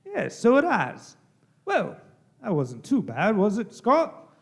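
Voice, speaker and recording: High, swooping cricket commentator played by a man. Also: wildly swooping pitch, slow pace, creaky, heavily acted. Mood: unruffled. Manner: genteel, unruffled. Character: unflappable, chatty male cricket commentator. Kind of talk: mock sports commentary in a radio play.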